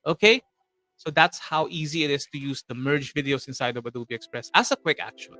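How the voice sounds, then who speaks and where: A man's voice: a little high, expressive, fairly quick, sharp tone, no echo. A man with a Filipino accent hosting a live screen-share tutorial, chatty and full of fillers, with joking asides to viewers. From Philippines. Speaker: host.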